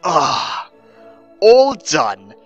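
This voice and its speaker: A man voicing a devoted, eager young servant girl in a slow, drawn-out, fawning manner for a dramatic story reading. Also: high voice, wildly swooping pitch, lo-fi sound, soft background music, pitched above the performer's own voice, full character voice. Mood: eager, excited. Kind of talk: dramatic reading of fan fiction.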